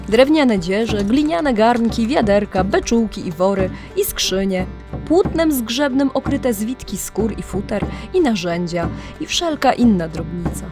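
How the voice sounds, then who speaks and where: A woman narrating a scripted audio drama legend in a measured, literary storytelling manner, with deliberate pauses. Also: mid-range voice. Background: music.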